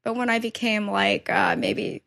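A woman in her thirties talks animatedly about her life in a painting studio, her voice sitting a bit high for a woman. She laughs often and restarts her sentences as she goes. The recording is a casual podcast chat. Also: flat delivery, unhurried, creaky, fairly bright tone. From born in China, raised in New York. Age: 30s.

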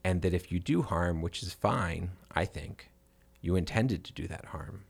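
Male podcast co-host, deep register, reflective literary talk with a halting flow. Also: gravelly, slightly rough. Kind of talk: literary discussion.

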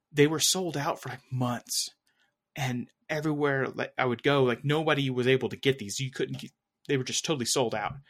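A man's voice: mid-range voice, a bit bright. Chatty man rambling about gadgets. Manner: rambling, full of fillers and restarts. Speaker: podcast co-host. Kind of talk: casual tech chat.

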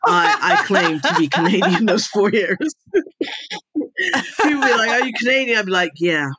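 A woman's voice: medium pitch, very animated, normal speed, a bit bright, husky, no room sound. A middle-aged woman with a blended British and American accent, telling her story casually and chattily as a podcast guest, often drifting into asides. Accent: mixed British and American. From raised between the Middle East, Europe and the United States. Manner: chatty, digressive. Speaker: podcast guest, intelligence advisor and speaker.

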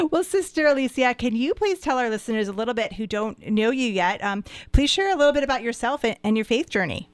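A woman's voice: a little high, animated, brisk, clear, resonant tone, no room sound. A woman from Chicago hosting a faith radio talk show, giving friendly introductions and asking her guest questions. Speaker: host.

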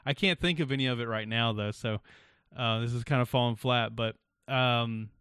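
A man's voice: mid-range voice, normal speed, fairly bright tone, clean tone, dry recording, clean studio sound. A man hosting a podcast, chatting casually and rambling with frequent laughs. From South Carolina. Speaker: podcast host.

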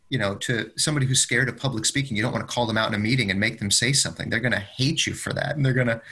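A man with a husky voice that still comes across bright and forceful. He talks as a podcast co-host, casual and encouraging, offering advice in a conversational way at an average pitch. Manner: encouraging, advisory, often checks with "right?". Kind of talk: casual workplace discussion.

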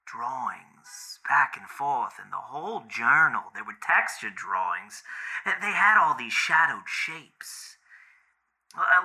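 Audio drama casting: guilt-ridden boyfriend, tense sharp voice, slow halting slangy delivery, big pitch swings. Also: male voice, vocal fry, slightly rough, heavily acted, poor audio. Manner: halting, slangy, self-deprecating, swearing. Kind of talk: naturalistic audio drama, rambling monologue.

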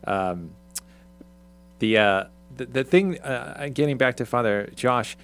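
A man hosting a talk show, summarizing an article in a halting way, with many ums and restarts. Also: medium pitch, a bit bright, clear, resonant tone. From Irish, Swedish ancestry mentioned. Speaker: radio show host. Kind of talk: casual talk show discussion.

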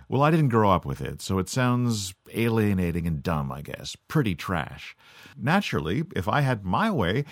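A man's voice: fairly low pitch, no room sound. A middle-aged man from Canada delivering a sardonic, scripted monologue as podcast host. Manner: sardonic, dry.

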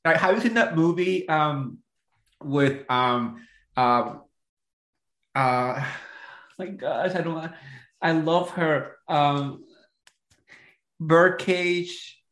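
Man, fairly high pitch, dramatic, halting and repetitive, casual co-host banter, noticeably echoey recording. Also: fairly bright tone. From Jersey City, United States. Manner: dramatic, halting, repeats himself. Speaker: podcast co-host. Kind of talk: casual reality TV recap chat.

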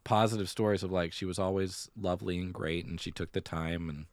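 Low-voiced man chatting casually. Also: steady tone, fairly quick, no room sound, clean studio sound. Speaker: podcast co-host. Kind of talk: casual chat about musical theater and pop culture.

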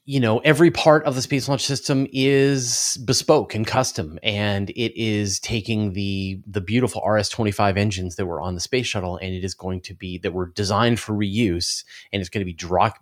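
A man giving explanatory answers as an interview guest on a podcast, halting as he thinks aloud. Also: on the low side, fairly even intonation. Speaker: interview guest, space commentator.

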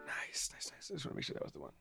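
A man with a raspy, worn voice chatting casually about his music, loose and swearing, quick to laugh. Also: pushed and bright, whispered. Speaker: musician playing his tracks. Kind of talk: casual chat.

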